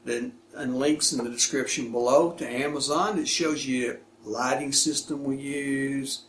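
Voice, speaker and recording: A man's voice: medium pitch, steady tone, unhurried. A man hosting a casual unboxing demonstration, speaking in short, plain, folksy phrases. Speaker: product reviewer and video host. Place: indoors, echoey room. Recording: echoey room with clear background noise.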